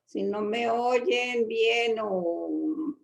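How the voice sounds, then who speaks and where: Woman, Colombian accent, hesitant humble talk, fillers, very slow pace, prayer and devotion. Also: a little high, gravelly, low-quality recording. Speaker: guest speaker in a women's Bible group.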